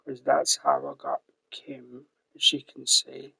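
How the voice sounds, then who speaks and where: A man commentating his own gameplay on a live stream, his voice croaky from the early hour. The tone is bright and gravelly with a husky edge, and he trails off into quiet whispers as he clicks through menus. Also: mid-range voice, very animated, low-quality recording. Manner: quiet, halting, drifting into whispers. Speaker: gaming streamer.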